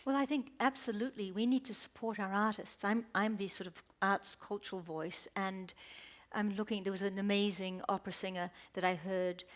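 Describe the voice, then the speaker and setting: A woman's voice: mid-range voice, steady tone, moderate pace. A woman speaking as the arts voice on a panel, earnest and emphatic. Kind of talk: panel discussion remarks.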